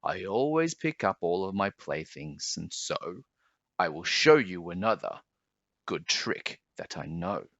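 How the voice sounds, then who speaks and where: A mischievous showman of a cat acted out by a man, coaxing and theatrical like a storybook trickster. The pitch swoops widely and words get drawn out. The sound is slightly dull and roomy. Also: sing-song, measured pace, a bit bright, exaggerated voice. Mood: confident. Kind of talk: children's storybook character reading.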